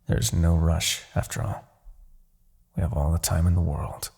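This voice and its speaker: A man playing a smug, commanding Demon King in a fantasy ASMR roleplay, taunting and possessive, with theatrical, deliberate phrasing. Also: sits low, flat delivery, creaky, darkish tone, slightly rough, exaggerated voice. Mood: smug, confident.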